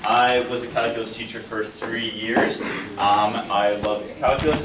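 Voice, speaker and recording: Friendly young male math teacher, far off. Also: medium pitch. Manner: friendly, self-deprecating, encouraging. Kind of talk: classroom lesson. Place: classroom. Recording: echoey classroom from far off, noisy.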